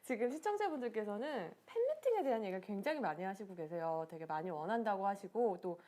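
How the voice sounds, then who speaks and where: A woman's voice: mid-range voice, expressive, fast, dark tone, a little hiss or hum. A woman hosting a live-stream interview, asking questions in an upbeat, conversational way. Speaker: interviewer host.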